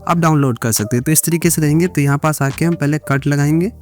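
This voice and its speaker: Indian guy doing a screen tutorial, kinda high voice, music behind him, english words mixed in. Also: quick pace. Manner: repetitive instructional phrasing with English words mixed in. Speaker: video editing tutorial creator. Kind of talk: step-by-step screen tutorial narration.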